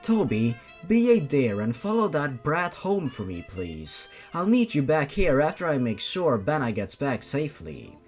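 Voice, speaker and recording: Man playing a composed, vengeful young woman, expressive delivery. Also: on the high side, unhurried, few pauses, faint music behind, exaggerated voice. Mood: commanding. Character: polite, vengeful young woman, a killer monster's daughter.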